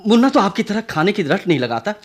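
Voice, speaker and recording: Husky, flustered, grumbling young servant. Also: male voice, high-pitched, fast. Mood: grumbling, scolding. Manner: excitable, scolding, whining. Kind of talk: Hindi radio play acting.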